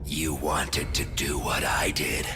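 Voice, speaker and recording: An actor playing an unrepentant psycho killer who craves chaos and death, in a slow, theatrical, menacing game performance. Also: male voice, rumbling low, steady tone, exaggerated voice. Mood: taunting menace. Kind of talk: dramatic video game cutscene acting. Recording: loud music over the voice.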